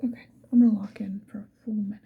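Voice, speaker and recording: A woman whispering breathily in a casual, unhurried ASMR get-ready-with-me chat, with self-deprecating murmured asides. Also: mid-range voice, fairly even intonation. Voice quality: breathy whisper, mostly unvoiced. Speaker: ASMR video creator. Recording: dull, muffled sound with faint noise.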